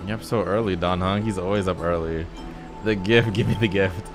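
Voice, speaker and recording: A man's voice: sits low, steady tone, fairly quick, music in the background. A man doing casual, slangy reaction commentary on a game story in an irreverent, teasing way. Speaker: gaming streamer reacting to a story quest.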